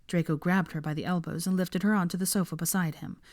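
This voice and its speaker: A woman's voice: on the low side, fairly even intonation, fast talker, dry recording, clean studio sound. A woman narrating fiction in a scripted, audiobook-style reading.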